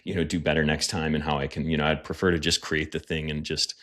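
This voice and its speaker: A man's voice: extremely low pitch, little pitch movement, fast talker, a bit bright. A man speaking as a podcast guest, earnest and reflective, with plenty of fillers as he thinks aloud. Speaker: guest, pastor and media producer. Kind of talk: casual podcast conversation.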